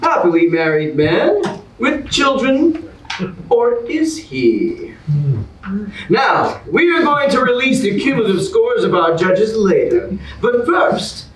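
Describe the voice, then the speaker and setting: A man's voice: sits high, sing-song, takes it slowly, exaggerated voice. A man playing a glib, gloating reality contest host in a staged comedy reading, with a showy, mocking announcer delivery. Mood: showy, teasing. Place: roomy indoor staged reading. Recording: echoey room from far off, noisy.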